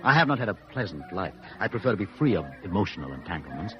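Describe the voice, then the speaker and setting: A reserved scientist doctor from a 1950s radio play. His phrasing is formal and unemotional, though his pitch swings a great deal. The sound is dull, like an old broadcast. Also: very animated, fast talker, over music. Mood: detached, guarded. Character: reserved, detached scientist doctor, survivor of wartime camps. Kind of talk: 1950s radio drama acting.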